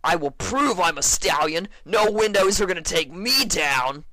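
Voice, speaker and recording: A man voicing a proud, stubborn, frustrated young pegasus stallion with loud, strained exclamations, in a fan fiction story reading. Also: high-pitched, expressive, measured pace, voice pushed up, heavily acted. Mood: defiant, desperate.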